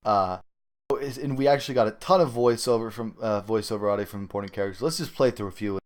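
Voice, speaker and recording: A man hosting a casual gaming podcast, talking in a halting, rambling way. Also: fairly low pitch. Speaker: podcast host. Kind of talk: casual gaming talk show commentary.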